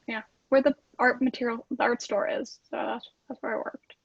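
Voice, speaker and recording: A woman's voice: on the high side, flat delivery, moderate pace, gravelly, slightly rough, dry recording, dull audio. A woman talking in a casual, conversational way among a group. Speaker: podcast cast member. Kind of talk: casual chat.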